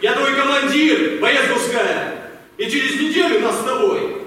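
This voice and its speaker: A man's voice: very high-pitched, measured pace, sharp tone, exaggerated voice. A man acting as a stern, mocking army captain drilling women recruits in an amateur radio play. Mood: grave, intense. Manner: commanding, sardonic. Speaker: actor in an amateur troupe. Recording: echoey hall, distant mic, faint noise.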